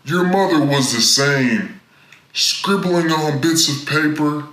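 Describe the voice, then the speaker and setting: An echoey room carries the slow, deliberate voice of a stern father, acted out by a man. He is harsh and commanding, a domineering storybook dad who scolds. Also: fairly high pitch, a bit bright. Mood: scornful, scolding. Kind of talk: storybook character voice.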